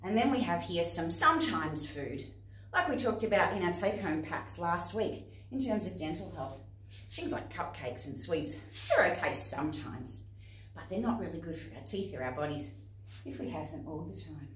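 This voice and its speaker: A woman with an Australian accent presenting to young children and parents in an expressive, instructive way. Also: fairly low pitch, normal speed, a little hiss or hum. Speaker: playgroup facilitator and presenter. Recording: echoey room, distant microphone.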